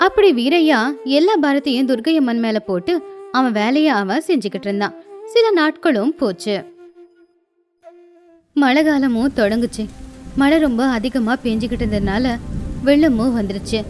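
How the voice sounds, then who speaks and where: A woman's voice: a little high, normal speed, long pauses, darkish tone, soft background music. A woman narrating a scripted moral tale as a storyteller.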